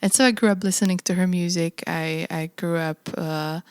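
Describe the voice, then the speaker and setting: A woman from Slovakia, a non-native English speaker, talking as a guest composer in a casual interview, thoughtful and hesitant with many pauses. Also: lowish voice, steady tone, smooth, no echo, clean studio sound.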